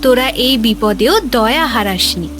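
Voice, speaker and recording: Woman as a high-voiced benevolent cloud fairy. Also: animated, moderate pace, over music, natural voice. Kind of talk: Bengali animated moral story voice acting.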